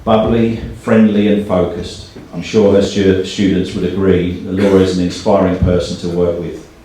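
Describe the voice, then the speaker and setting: A man with a low, mellow voice gives an earnest introduction as an event host. He speaks a little hesitantly, heard from a distance in a very echoey room with faint noise. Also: level tone, moderate pace. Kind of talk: live introduction speech at a book launch.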